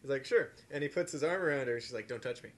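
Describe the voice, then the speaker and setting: A man's voice: mid-range voice, rapid-fire, fairly bright tone. A young man in casual chat with a friend as a podcast guest, blunt and teasing in how he talks.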